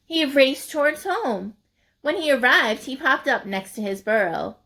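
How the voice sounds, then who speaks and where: Slow, higher-voiced woman reading a picture book. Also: expressive, sharp tone. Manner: deliberate, emphatic, addressing young listeners. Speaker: children's read-aloud storyteller. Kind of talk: expressive picture-book narration.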